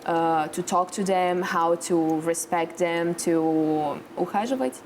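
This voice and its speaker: Young Italian woman, low voice, non-native English with hesitant phrasing, candid interview guest, some background noise. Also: slow, darkish tone. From Italy. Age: young adult. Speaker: talk show guest.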